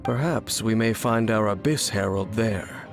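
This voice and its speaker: An actor playing a mysterious, weary wandering swordsman in a game, speaking in a grave, measured way. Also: fairly low pitch, creaky. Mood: wry. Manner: grave, measured, solemn storytelling. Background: game music.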